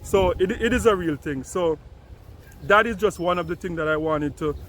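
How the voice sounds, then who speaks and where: A man's voice: high voice, normal speed, fairly bright tone, slight background noise. A man from Jamaica, giving casual commentary and often repeating words as he starts sentences. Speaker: commentator responding to a video.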